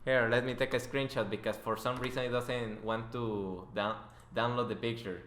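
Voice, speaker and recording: A man's voice: mid-range voice, steady tone, moderate pace, a bit bright, a little hiss or hum. A man hosting a casual podcast interview, joking and teasing in a sarcastic way. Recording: roomy, echoey voice recording.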